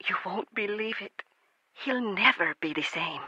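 A woman's voice: mid-range voice, animated, normal speed, close to an everyday voice. A woman playing a concerned, earnest mother of grown sons in a radio drama. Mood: grave, urgent. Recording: slightly dull, dry radio recording.

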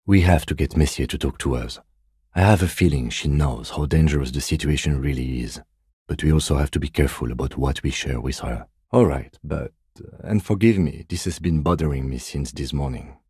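An actor playing a reserved, principled middle-aged police detective in a video game, with a measured, dry and formal manner. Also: male voice, very deep voice, dark tone, slightly rough, dry recording, heavily acted. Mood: dryly polite.

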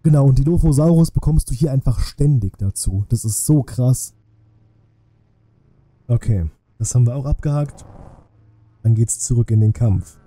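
A man's voice: medium pitch, moderate pace, long pauses, warm tone. A man casually commentating a game live for his viewers, thinking aloud in chatty asides. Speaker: streamer. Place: indoor room, streaming setup. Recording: music playing faintly behind. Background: game music.

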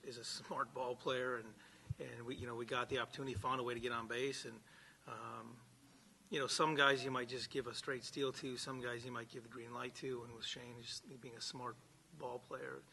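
Gravelly-voiced blunt baseball coach. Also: male voice, mid-range voice, level tone, moderate pace, pushed and bright, a little hiss or hum. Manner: blunt, repeats words when starting sentences. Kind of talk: postgame press conference answers. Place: press conference room. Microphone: table microphone.

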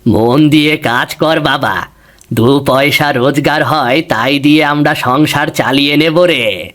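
A tired, loving old father from a cartoon fairy tale, played by a man. His voice is slightly high for a man, tender and full of worry. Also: slow pace, a bit bright. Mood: relieved, tender. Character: weary, worried, caring aging father.

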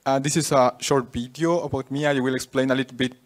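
A man's voice: mid-range voice, steady tone, fast talker. A young man from Peru, a native Quechua speaker, giving a conference talk with many fillers and restarts. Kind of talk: conference presentation. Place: conference room with audience.

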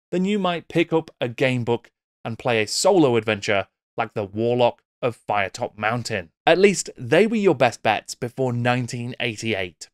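Casting: middle-aged British male host, mid-pitched, wry video essay delivery, dry close-mic sound. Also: no echo. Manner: wry, theatrical emphasis. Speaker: YouTube host. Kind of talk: scripted video essay narration. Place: small book-lined home room. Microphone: close desk microphone with pop filter.

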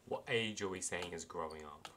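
A young man playing a game, talking in a low-key way and muttering at the screen. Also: brisk, pushed and bright, a little hiss or hum. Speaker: gamer. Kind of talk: casual gaming chat.

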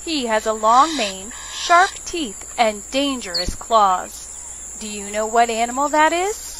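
High, animated woman reading for preschoolers. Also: a little slow, background noise. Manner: simple questions and instructions for young children. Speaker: children's librarian reading stories. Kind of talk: storytime narration for preschoolers.

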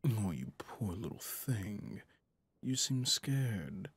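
Man acting a slow, gravelly, possessive mafia boss. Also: on the low side, very animated, dry recording, full character voice. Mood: teasing, seductive. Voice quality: gravelly, husky, breathy, often half-whispered. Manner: slow, teasing, dominant, pet names. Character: possessive mafia boss, smug, menacing yet smitten lover. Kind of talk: intimate ASMR roleplay audio drama.

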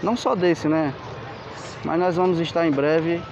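Enthusiastic vlogger touring a street market. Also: male voice, a little high, steady tone, unhurried. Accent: Pernambuco Portuguese. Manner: enthusiastic, asks for likes, points things out. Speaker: travel vlogger. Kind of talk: walking market tour vlog. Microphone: handheld camera. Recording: lo-fi camera audio with street noise.

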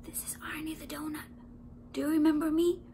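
Woman voicing an excitable little male donut, swooping pitch. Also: moderate pace, a little hiss or hum. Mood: frantic, urgent. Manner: dramatic, exclaiming, sometimes frantically whispering. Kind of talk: children's storybook character voice.

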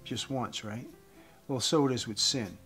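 A man's voice: medium pitch, measured pace, bright, forceful tone. Earnest man preaching over guitar music. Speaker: host giving a faith message. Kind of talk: evangelistic talk to camera. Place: indoors, room with wooden beams.

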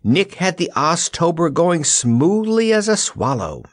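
A man's voice: mid-range voice, big pitch swings, moderate pace, fairly bright tone, husky, no room sound, studio quality, heavily acted. A man voicing a spirited, snappy girl adventurer in a storybook, calling out shrilly. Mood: approving shout.

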